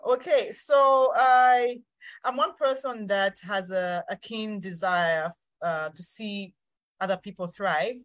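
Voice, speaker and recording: A woman's voice: mid-range voice, slow pace, dry recording. A woman in her thirties with a Zambian accent, answering interview questions in a hesitant, thoughtful way over a video call. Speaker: interview guest, urban planner.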